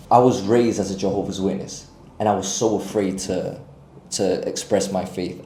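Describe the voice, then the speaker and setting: Young London man, self-improvement vlogger, lowish warm voice, roomy echo, heartfelt unscripted delivery. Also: slight background noise. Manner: earnest, confessional, unhurried with long pauses. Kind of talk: unscripted personal story to camera.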